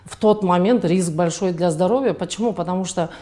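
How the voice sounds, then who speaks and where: A middle-aged woman, a fertility doctor, answering interview questions in an unhurried way with frequent hesitations. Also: on the low side, dark tone. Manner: unhurried, many hesitation sounds.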